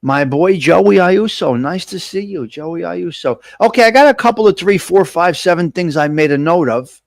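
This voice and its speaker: A man's voice: high voice, lively intonation, gravelly, dry recording, clean studio sound. Chatty North Jersey man hosting live. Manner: chatty, quick asides, jokey banter with viewers. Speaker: host of a live talk show. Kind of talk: casual live-stream chat, reading viewer comments.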